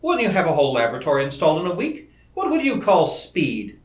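A man's voice: fairly high pitch, huge pitch swings, quick pace, voice pushed up. A man voicing a devoted, spirited young woman in a storybook, tender and teasing in her directness. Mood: fond, teasing. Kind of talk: old-fashioned storybook dialogue. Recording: roomy, echoing indoor recording.

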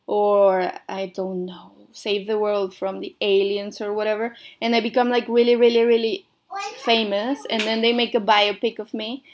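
A woman's voice: medium pitch, flat delivery, normal speed. A young Mexican woman who speaks Spanish natively, with a mixed Mexican and American-tinged accent, hosting a casual radio show and rambling with lots of "like" and "I don't know". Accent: Mexican, mixed with American English.

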